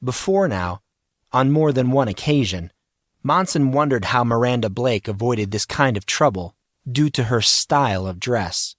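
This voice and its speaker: A man narrating scripted fiction in a measured, expressive storytelling manner. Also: mid-range voice, no echo, studio quality. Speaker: audiobook narrator.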